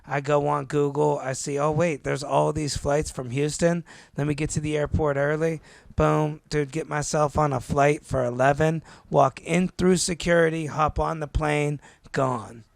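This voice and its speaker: Gravelly man telling stories casually. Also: a little high, moderate pace, no echo. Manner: storytelling, animated, says dude and bro. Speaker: podcast co-host. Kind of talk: casual chat. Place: New York.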